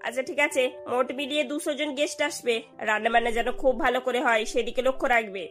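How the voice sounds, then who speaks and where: A woman's voice: fairly high pitch, steady tone, fast, faint music behind, lightly acted. A woman playing a haughty, short-tempered rich mistress of the house in a cartoon, commanding and scolding. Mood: bossy brisk.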